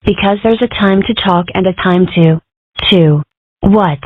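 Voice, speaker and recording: A woman's voice: medium pitch, unhurried, dry recording, lightly acted. A woman voicing an opinionated, philosophical ten-year-old girl in a cartoon comic dub, earnest and wordy. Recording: muffled band-limited audio.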